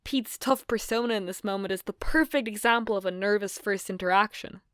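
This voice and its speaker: A woman's voice: medium pitch, brisk, fairly bright tone, smooth, no room sound, clean studio sound, faint music behind. A woman co-hosting a video essay and reading from a prepared script.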